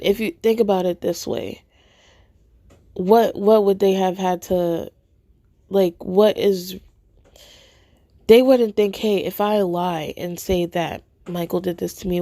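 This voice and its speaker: Female podcast host, cold-hoarsened and unhurried, dark tone, conversational and halting, recorded close and dry. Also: lowish voice, steady tone, dry recording. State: hoarse from a cold, voice nearly gone. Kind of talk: casual spoken commentary to listeners. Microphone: phone held close to mouth.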